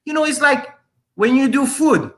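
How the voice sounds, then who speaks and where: A man's voice: very high-pitched, normal speed. A man from France with a French accent, chatting casually and animatedly about his electric skateboards. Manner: animated, jokey, says "you know" and "my friend" often. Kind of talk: casual chat.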